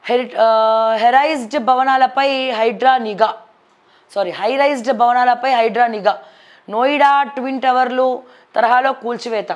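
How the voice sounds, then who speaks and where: High-pitched Telangana woman news presenter. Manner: conversational, outspoken, mixes in English words. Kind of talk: reading headlines with informal opinionated commentary.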